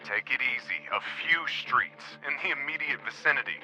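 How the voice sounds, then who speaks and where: A man's voice: mid-range voice, animated, brisk, sharp tone, soft background music, poor audio. An actor playing an exasperated, cautious man in a video game who keeps reining in a reckless partner. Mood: stern, cautioning. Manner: admonishing, practical.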